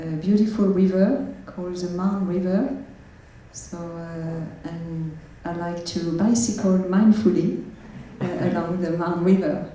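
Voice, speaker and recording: A woman with a French accent, a Buddhist nun, introducing herself to an audience with many pauses and fillers. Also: fairly low pitch, mellow, slight background noise. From France. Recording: echoey hall, from far off.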